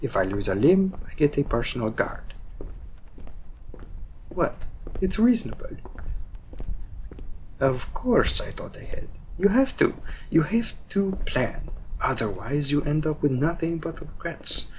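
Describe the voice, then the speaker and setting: A man playing a cocky, loyal, world-weary assassin with a wry, teasing, drawn-out delivery in a roleplay audio drama. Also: on the high side, big pitch swings, slight background noise, low-quality recording. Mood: boastful, wry.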